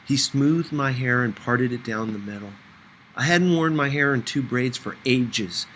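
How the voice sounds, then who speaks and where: A man's voice: mid-range voice, measured pace, a bit bright, a little hiss or hum, rough recording. A man narrating a first-person novel as an audiobook reader, in a scripted storytelling style.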